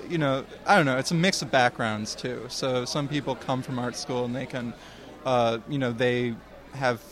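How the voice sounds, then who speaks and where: Young male guest, mid-pitched voice, halting and unsure answers, outdoor interview. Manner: hesitant, halting, many ums. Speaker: guest, comics student. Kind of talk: casual interview.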